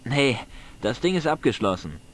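Frecher Teenager mit lebhafter Stimme. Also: male voice, medium pitch, sing-song, normal speed, a bit bright. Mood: defiant. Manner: slangy, cocky, dramatic storyteller. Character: cheeky defiant teenage Irish village boy, prone to tall tales. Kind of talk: video game voice acting.

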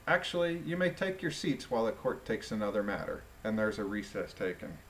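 A man reading a document aloud and adding informal commentary in a deliberate, musing way. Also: lowish voice, sing-song, fairly bright tone, slight background noise.

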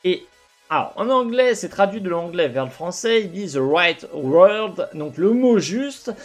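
Young man, headset, lively pitch, hesitant fillers, gaming commentary, soft music behind. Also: unhurried. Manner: animated, talks with his hands, many fillers and restarts. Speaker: gaming video host. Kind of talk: commentary over gameplay. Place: home room with gaming chair.

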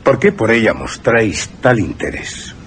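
An actor playing a cool, mocking aristocratic libertine in a radio horror drama, formal in speech. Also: male voice, lowish voice, huge pitch swings, measured pace, fairly bright tone, slight background noise, heavily acted. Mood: coolly evasive.

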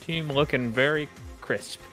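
A man's voice: on the high side, unhurried, a bit bright. A man giving short, casual remarks as an esports co-commentator on a fighting game. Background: game music under the commentary.

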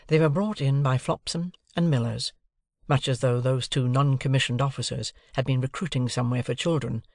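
A man narrates literary fiction for an audiobook. His mid-range voice has a noticeable gravelly creak. The recording is close and dry. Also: mid-range voice, no room sound.

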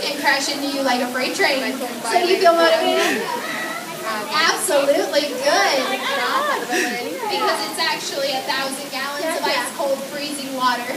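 A woman hosting a live outdoor theme park show as its director, lively and upbeat, directing a volunteer and cast. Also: high-pitched. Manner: energetic, upbeat, directing the crowd. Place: outdoor theme park stage by a water tank. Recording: distant echoey PA, loud crowd and noise.

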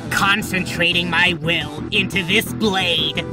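An earnest, grandiose young bird boy played by a woman in a game fandub. The delivery is slow and self-important, with music clearly playing behind. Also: on the low side, fairly even intonation. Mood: grand, determined. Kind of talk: video game fandub acting.

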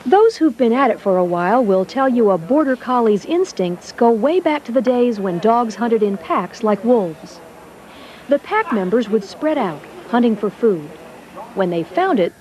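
A woman reading scripted documentary narration in a measured, even way. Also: a little high, slight background noise.

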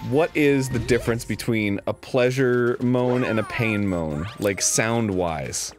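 A man's voice: medium pitch, takes it slowly. A man co-hosting a gaming show, joking dryly with his partner. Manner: dry teasing jokes. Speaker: co-host. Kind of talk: casual banter while gaming. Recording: game music behind. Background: video game music.

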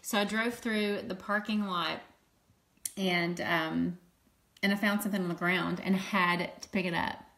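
A woman's voice: fairly low pitch, unhurried. Woman, 50s, mild southern accent, casual livestream chat, phone mic close up, indoors at home. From Louisiana, US. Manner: chatty, greets viewers, laughs often, excitable. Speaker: makeup seller hosting a livestream. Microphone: phone camera close up.

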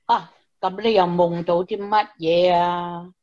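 A woman playing a slow-talking, encouraging friend. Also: on the low side, steady tone, a little mellow. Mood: reflective. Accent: Hong Kong Cantonese. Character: reporter's frank, devout, encouraging female friend. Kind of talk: Christmas radio play dialogue. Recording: dull lo-fi recording.

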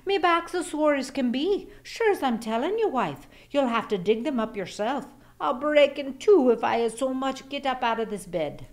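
A woman's voice: high-pitched, animated, normal speed, few pauses, voice pushed up. A woman voicing a lazy, self-pitying Irish farmer husband in a storybook read-aloud, whining and dramatic.

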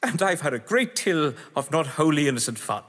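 A grandiose, sardonic gentleman of letters, played by a man in an audio drama. His voice sits high and swoops freely through eloquent, defensive speeches, ringing with long reverb. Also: sing-song, fairly quick. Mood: haughty, boastful. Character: haughty, theatrical games-obsessed male writer, defensive under suspicion. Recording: long echoing hall reverb.

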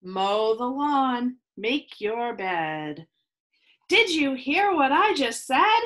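A woman in her thirties reading a children's picture book aloud in slow, sing-song rhymes, recorded on a webcam at home. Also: high voice, very animated, fairly bright tone. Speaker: children's read-aloud host. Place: home room with plants, indoors.

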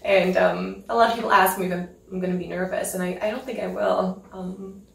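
A woman's voice: mid-range voice, little pitch movement, brisk, gravelly. A woman in her thirties from Norway, a pianist chatting in an interview at home, giggling and filling gaps with ums. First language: Norwegian. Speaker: interview guest, concert pianist. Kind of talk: casual interview chat. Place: home living room with piano, indoors. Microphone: far camera mic. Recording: echoey room, mic at a distance.

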